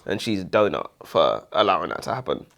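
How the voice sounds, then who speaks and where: A man's voice: low voice, very animated, fairly quick, creaky, fairly bright tone. A British man bantering casually as a book review co-host, blunt, opinionated and jokey.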